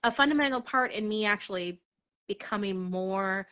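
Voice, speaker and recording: A woman in relaxed conversation at home over a video call, chatty and laughing often. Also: mid-range voice, moderate pace, clean tone, muffled recording. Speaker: guest. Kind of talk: casual chat. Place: her apartment.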